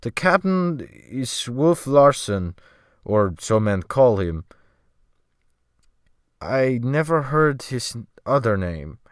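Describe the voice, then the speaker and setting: A man voicing a timid, frank Scandinavian sailor who speaks slowly and methodically with a slight accent, as in an audiobook. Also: medium pitch, very animated, smooth, no room sound, studio quality. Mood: cautious. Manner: slow, methodical, groping for words. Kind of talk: dramatic audiobook character voice.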